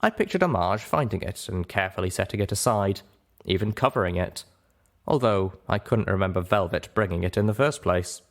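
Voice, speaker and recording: A man reading scripted first-person fiction as an audiobook narrator, with a dramatic, expressive delivery. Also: sits low, fairly quick.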